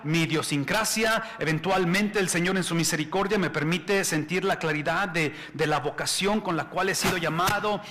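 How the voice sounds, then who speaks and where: Bright-voiced preacher in his forties in a reverberant hall. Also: a little high. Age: 40s. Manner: conversational preaching with frequent repetitions and restarts; addresses the audience with questions. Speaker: pastor. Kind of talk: conference sermon. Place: large church hall.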